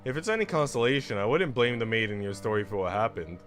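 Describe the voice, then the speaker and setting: A man voicing a tender, teasing young lady in a visual novel, speaking softly, formally and coyly. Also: fairly low pitch, sing-song, fast, faint music behind. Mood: teasing, gentle. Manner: soft, formal, coy. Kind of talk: visual novel character reading.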